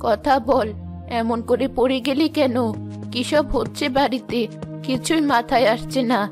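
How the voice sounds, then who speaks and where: Casting: woman as worried mother of a poor cartoon family, plaintive, much lower than the children, music behind. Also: a little slow. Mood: distressed. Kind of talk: moral-story cartoon dub.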